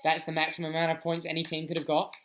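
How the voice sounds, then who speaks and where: A man's voice: a little high, monotone, very fast, a bit bright, slight background noise. A man co-hosting a quiz livestream, cheering and repeating each team name after it is announced. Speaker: quiz co-host.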